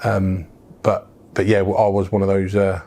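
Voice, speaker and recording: A man's voice: deep voice, flat delivery, moderate pace, mellow. A middle-aged English businessman telling his life story in a frank, casual interview, with plenty of fillers and restarts. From England. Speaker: business founder, guest.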